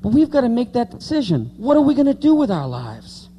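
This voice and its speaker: A man's voice: very high voice, huge pitch swings, brisk, muffled recording, a little hiss or hum. A man in his late thirties preaching an informal evangelical sermon, repeating key words for emphasis and speaking conversationally to the congregation. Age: late 30s.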